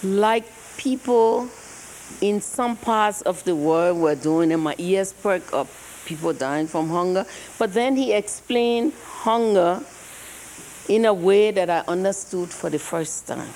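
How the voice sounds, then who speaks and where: An older woman speaks with a Liberian accent, her voice a little hoarse and cracked from travel. She lectures slowly, pausing often as she tells her story. A light hiss sits under the sound. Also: medium pitch, lively intonation, a little mellow, rough recording. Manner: slow, reflective storytelling with pauses. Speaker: guest speaker. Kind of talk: public lecture. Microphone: handheld or podium mic.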